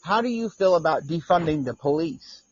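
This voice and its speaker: A man's voice: fairly high pitch, animated, moderate pace. Stammering man asking questions. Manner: stammering, polite but insistent. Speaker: caller. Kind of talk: call-in questioning. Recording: dry muffled call-in audio.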